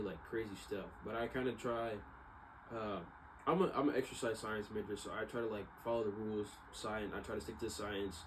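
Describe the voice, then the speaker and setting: A man's voice: medium pitch, slight background noise, poor audio. A young American man telling a story casually, with a rambling, slangy manner, often correcting himself. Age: young adult. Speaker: vlogger, exercise science student.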